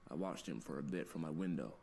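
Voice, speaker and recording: An actor playing a hunted, secretive man who confides his story in a measured, colloquial way for a visual novel. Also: a bass voice, vocal fry, warm tone, a little hiss or hum. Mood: wary, tense.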